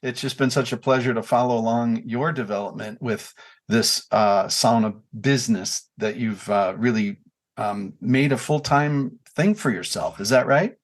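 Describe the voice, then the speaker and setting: A man's voice: medium pitch, normal speed. Rambling man in his sixties hosting. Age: 60s. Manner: rambling, many fillers and restarts. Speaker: podcast host. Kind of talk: casual podcast chat.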